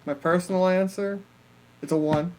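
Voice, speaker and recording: A man with a notably high voice reviews movies as a podcast co-host. His talk is casual and jokey, often broken up with laughter. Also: fairly even intonation, moderate pace, low-quality recording.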